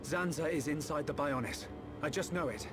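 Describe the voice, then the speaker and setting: A man's voice: a little high, normal speed, background noise, close to an everyday voice. A man with a British accent voicing an earnest, resolute young hero in a video game. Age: young adult.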